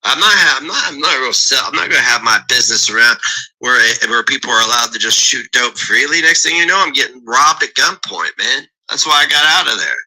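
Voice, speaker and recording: Brief: worked-up man, cursing rant, very sharp tense tone. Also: mid-range voice, expressive, a little fast, slightly rough, low-quality recording. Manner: agitated, stumbling and cursing. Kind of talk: heated profane rant.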